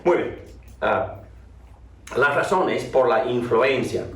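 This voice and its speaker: A man from North Carolina teaching a theology class and opening with a prayer, speaking haltingly with many restarts and repeated words. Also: mid-range voice, animated, slight background noise. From North Carolina, USA. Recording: echoey room, voice from far off.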